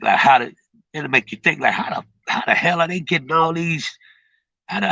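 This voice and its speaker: Raspy man, low bright voice. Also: dry recording, rough recording. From Chicago. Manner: rambling, repeats words, street slang. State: has a cold. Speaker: streamer giving advice. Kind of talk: casual livestream talk.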